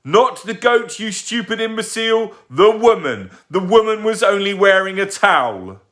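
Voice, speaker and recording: A man's voice: high-pitched, huge pitch swings, normal speed, a bit bright, voice pushed up, exaggerated voice. A man voicing a short-tempered, furious male neighbour who growls and roars in an audiobook. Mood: roaring furious. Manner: growling, roaring, breathing heavily.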